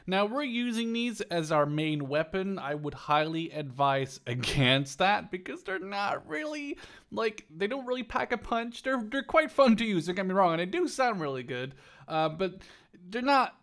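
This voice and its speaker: Higher-voiced young man, casual gamer chat. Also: expressive, moderate pace, a bit bright. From Canada or Netherlands. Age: 20s. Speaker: gaming YouTuber and player. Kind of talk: casual gameplay commentary and banter. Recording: noisy, game sounds behind voice. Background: video game vehicle and ambient noise.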